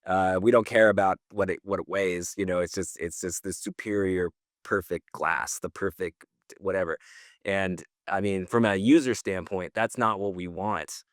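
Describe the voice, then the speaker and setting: A man's voice: sits low, steady tone, moderate pace, no room sound, studio quality. A man co-hosting a podcast in casual conversation, giving slangy opinions and thinking aloud. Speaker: podcast co-host.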